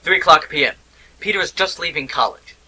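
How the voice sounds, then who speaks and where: A man's voice: a little high, brisk, pushed and bright, husky, low-quality recording. A man narrating an amateur cartoon in a dramatic storytelling manner. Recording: music bed under the voice.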